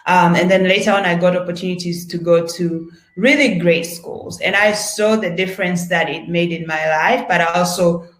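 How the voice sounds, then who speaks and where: A woman's voice: lowish voice, fairly even intonation, normal speed, few pauses. A woman speaking on a video-call panel, earnest and heartfelt. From Tanzania. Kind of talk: personal panel talk over a video call. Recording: roomy echo on a video call.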